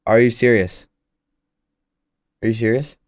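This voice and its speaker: A man's voice: medium pitch, steady tone, a little slow, slight background noise. A man casually commenting as he reacts to horror videos, repeating short exclamations and phrases.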